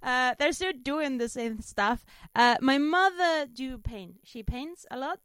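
A woman from Spain with a Spanish accent, chatting casually as a comedian guest, animated and self-deprecating. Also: sits high, unhurried, clean tone, dry recording, clean studio sound. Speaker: stand-up comedian, interview guest. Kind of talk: casual chat, telling anecdotes.

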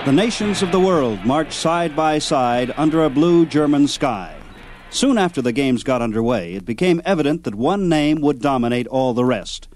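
A man's voice: mid-range voice, lively intonation, normal speed, darkish tone, soft background music. A man narrating a scripted sports history documentary.

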